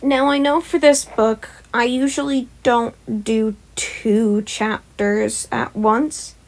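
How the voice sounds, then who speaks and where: A woman's voice: a little high, steady tone, slow. A woman who hosts a fan-fiction audio channel, reading stories and talking to listeners. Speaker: podfic reader and channel host. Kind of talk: scripted story narration and casual asides to listeners.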